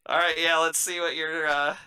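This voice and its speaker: A man's voice: on the high side, little pitch movement, normal speed, a crowd in the background. A man chatting informally as a player in a tabletop roleplaying game, with some swearing. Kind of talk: casual game chat.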